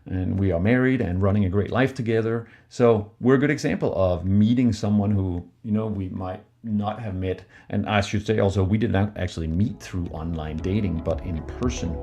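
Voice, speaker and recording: Mellow-voiced man podcasting. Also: lowish voice, normal speed, hardly any pauses. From Denmark. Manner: relaxed, conversational, with many fillers. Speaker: podcast co-host. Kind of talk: casual podcast talk.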